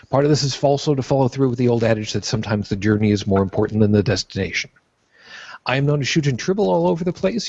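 A man hosts an online panel, chatty and easygoing. His voice sits at an ordinary pitch. The sound is dry and slightly muffled, like a lo-fi call mic. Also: slightly rough, no room sound. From born in Brooklyn, raised on Long Island. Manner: relaxed, chatty, introduces guests one by one. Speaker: host of a panel vlogcast. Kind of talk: casual panel hosting.

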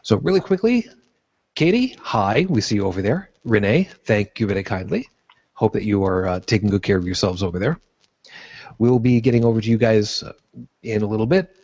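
Relaxed New man hosting a panel. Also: on the low side, a little fast, dry recording. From born in Brooklyn, raised on Long Island. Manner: relaxed, chatty, introduces guests one by one. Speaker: host of a panel vlogcast. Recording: dry, muffled lo-fi audio.

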